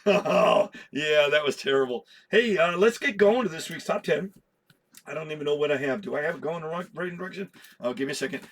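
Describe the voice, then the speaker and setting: A slightly hoarse man hosting a comic book vlog, chatty and self-deprecating, laughing at his own slips. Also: on the high side, lively intonation, brisk. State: a little hoarse. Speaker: comic collector host. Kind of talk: rambling casual vlog.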